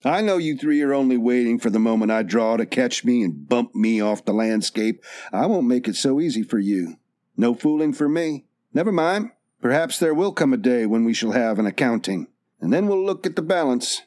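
A man's voice: mid-range voice, lively intonation, moderate pace, a little mellow, natural voice. A man playing a proud, stubborn male drifter in a storybook, dryly polite but defiant. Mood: quietly defiant.

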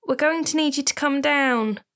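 A woman's voice: sits high, moderate pace, fairly bright tone, pitched above the performer's own voice. A woman reading a novel aloud, voicing a formal female nurse calling on the phone.

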